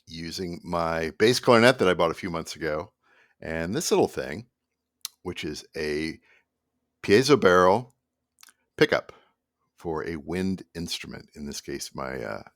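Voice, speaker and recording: A man's voice: low voice, a bit bright, no echo, studio quality. A middle-aged man talking casually and loosely to camera as a musician, rambling in an easygoing way. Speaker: musician presenting own project. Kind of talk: casual behind-the-scenes vlog talk to camera. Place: small indoor home room at a computer.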